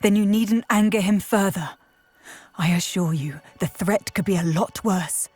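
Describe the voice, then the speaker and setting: Husky, grieving witch mother. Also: mid-range voice, unhurried, darkish tone, no room sound, faint music behind. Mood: bitter, warning. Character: grieving widowed witch mother, protective and firm. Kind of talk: video game voice acting.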